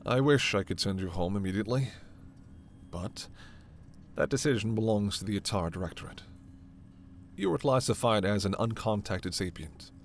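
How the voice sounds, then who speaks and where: A man playing a formal alien scientist in a sci-fi ASMR roleplay, stern and authoritative yet reassuring, with measured, precise diction. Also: fairly low pitch, expressive, slight background noise. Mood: measured explaining. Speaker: voice actor in audio roleplay.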